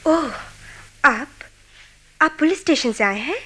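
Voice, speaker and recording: A woman's voice: high-pitched, animated, takes it slowly, noisy background. A woman acting a polite, businesslike nurse in a radio play. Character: polite, businesslike female nurse at a nursing home.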